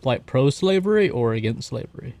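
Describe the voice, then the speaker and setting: A man's voice: mid-range voice, animated, fairly quick, darkish tone, no room sound. A man playing a tabletop roleplaying game, casually asking questions and chatting at the table.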